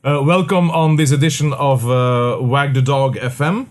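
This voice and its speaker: A man's voice: mid-range voice, moderate pace. Man hosting a podcast. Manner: relaxed, many filler words. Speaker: podcast host. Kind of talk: casual interview.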